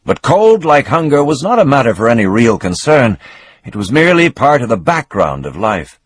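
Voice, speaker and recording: A man reading a novel aloud as narrator, in a measured, expressive storytelling manner with a husky voice. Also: medium pitch, dry recording.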